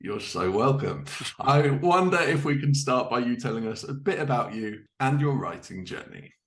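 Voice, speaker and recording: A man's voice: medium pitch, lively intonation, moderate pace. A man hosting a podcast, presenting prepared updates and introductions. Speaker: podcast host.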